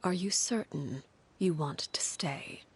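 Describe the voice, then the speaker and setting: A woman playing a stern, composed leader of a secret family in a video game, speaking in a measured, formal, authoritative way. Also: low voice, breathy edge, full character voice. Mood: resolute. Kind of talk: scripted video game dialogue.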